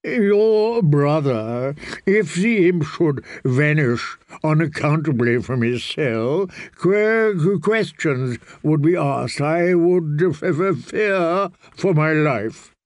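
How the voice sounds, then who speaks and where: A prissy, simpering eunuch, put on by a man reading aloud. The voice sits higher than plain talk and drags out each word in a breathy, halting flutter. Close and dry, with no room around it. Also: expressive, dry recording. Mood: wary. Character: fussy simpering soft-spoken eunuch man, middle-aged.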